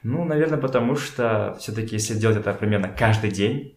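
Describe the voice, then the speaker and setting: A man with a fairly low voice chats as a guest in an echoey room at someone's home. His delivery is conversational and slangy, with repeated words as he builds his point. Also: a little fast. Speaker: podcast guest. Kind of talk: casual conversational storytelling.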